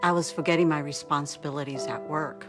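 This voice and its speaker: A mature woman gives a scripted ad testimonial in a deep voice. Music plays clearly behind her. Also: fairly even intonation, fairly quick. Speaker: mother in a public service ad.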